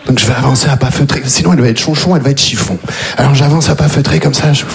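A man performs stand-up comedy in a mid-pitched voice. He talks to the crowd in a relaxed, conversational way, teasing them as he goes. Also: rapid-fire, vocal fry, heavy background noise. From Belgium. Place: comedy stage before a live audience.

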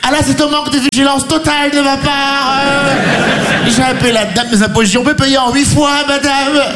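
A man doing a stand-up routine, animated and laughing through his jokes. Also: very high voice, brisk, echoey room, over loud music. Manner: animated, laughing, joking asides. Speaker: stand-up comedian. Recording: noisy live recording.

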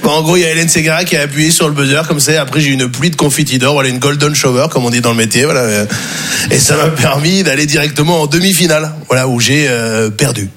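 A young man performing a stand-up comedy set on stage before a live audience, playful and quick to jump into high squeaky voices for the people he quotes. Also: a bit bright.

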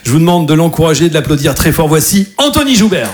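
A man's voice: a little high, sing-song, quick pace, loud music behind the voice, over a noisy crowd. A man hosting a comedy club, introducing the next act in an announcer style with a few hesitations. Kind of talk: introducing acts.

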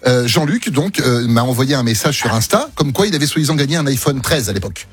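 Lively, fast-talking French radio guest. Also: male voice, mid-range voice, husky. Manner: animated, eager, laughing often. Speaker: radio guest, former policeman turned cook. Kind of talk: storytelling in a radio chat.

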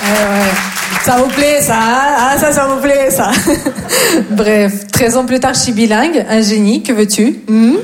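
Young russian woman doing standup, slightly high voice, teasing the crowd, echoey club with people laughing. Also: measured pace, background noise. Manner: teasing, deadpan jabs at the audience. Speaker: stand-up comedian. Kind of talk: stand-up comedy set. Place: comedy club stage. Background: audience laughter.

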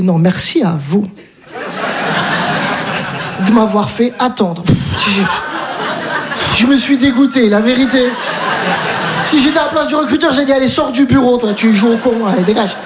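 A woman performing a stand-up routine, telling a story and acting out the dialogue with energy. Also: sits high. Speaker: stand-up comedian. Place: comedy stage. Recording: noisy live recording.

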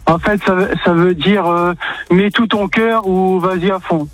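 A man's voice: high-pitched, fairly even intonation, a little slow, music in the background, poor audio. A man from northern France phoning a radio show, explaining regional slang in an informal, slightly hesitant way. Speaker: phone-in caller, lorry driver.

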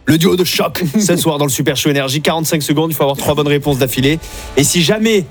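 A man's voice: a little high, faint music behind. A man hosting an evening radio game show, upbeat and chatty, talking from the studio. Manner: upbeat, chatty, quick patter.

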